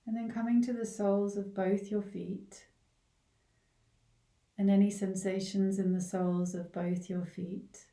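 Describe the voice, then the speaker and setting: A woman leading a guided body scan meditation in a gentle, unhurried way, leaving long pauses between short phrases. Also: mid-range voice, level tone. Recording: roomy, echoing, distant from the mic.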